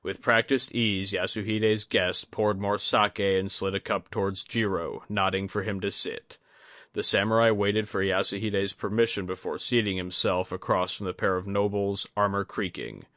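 A man reading scripted fantasy fiction as an audiobook narrator, in a measured, literary manner. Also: on the low side, flat delivery, fairly bright tone, dry recording, clean studio sound.

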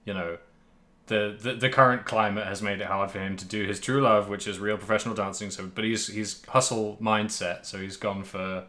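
Male podcast co-host, lower voice, casual comedic game chat, rambling and self-correcting. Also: fairly even intonation, moderate pace, bright, forceful tone.

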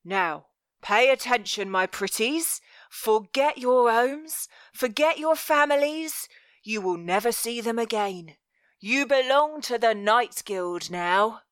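A woman voicing a cruel, menacing male master of thieves in an audiobook, commanding and deliberate, with mock-tender threat. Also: a little high, expressive, a bit bright, no echo, studio quality. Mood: cold, domineering. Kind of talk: dramatic audiobook character voice.

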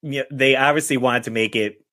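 A man's voice: medium pitch, steady tone, a little fast, bright, forceful tone. A man hosting a podcast game, chatting casually and reading aloud with some jokey, theatrical emphasis. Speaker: podcast host running a guessing game. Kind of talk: casual podcast chat with read-out reviews.

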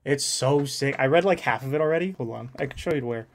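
A man's voice: mid-range voice, quick pace. Enthusiastic man chatting casually. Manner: enthusiastic, upbeat. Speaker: podcast host and game master. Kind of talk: casual chat.

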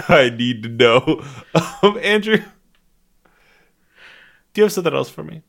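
A man with a booming, loud voice co-hosting a podcast in casual, jokey, profane and animated chat. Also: gravelly. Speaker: podcast co-host.

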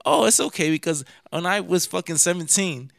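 A man's voice: high-pitched, expressive, a little fast, creaky, fairly bright tone, no room sound, studio quality. A nineteen-year-old man co-hosting a podcast, arguing emphatically and swearing in casual chat. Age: late teens. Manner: argumentative, profane, emphatic. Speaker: podcast co-host.

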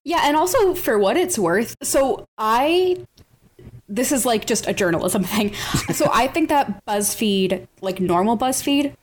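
A woman's voice: fairly high pitch, lively intonation, measured pace. A woman co-hosting a podcast in casual conversation, leaning on key words for emphasis. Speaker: podcast co-host.

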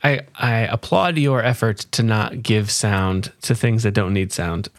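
A man's voice: fairly low pitch, unhurried, no room sound, studio quality. A man hosting a casual interview, asking inquisitive questions and listening attentively. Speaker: podcast host, interviewer.

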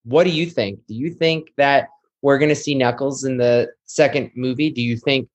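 A man's voice: medium pitch, steady tone, normal speed. A man hosting a casual podcast chat, speaking with enthusiasm and addressing his listeners directly. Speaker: podcast host.

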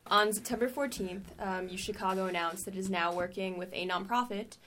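A woman's voice: mid-range voice, a little fast, fairly bright tone, slight background noise. Young woman reading news with "um"s. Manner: reads with frequent "um" fillers. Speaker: student news reporter. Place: recording booth.

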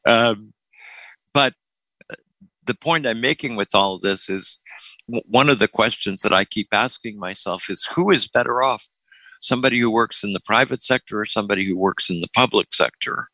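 A man's voice: on the low side, moderate pace, sharp tone, no room sound, poor audio. An older man hosting a casual group talk, often repeating words as he starts a thought. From Florida.

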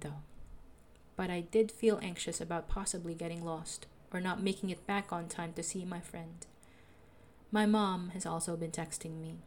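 A young woman with a soothing voice, calmly narrating a personal audio diary as a podcaster. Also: lowish voice, slight background noise. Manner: calm, reflective, confessional.

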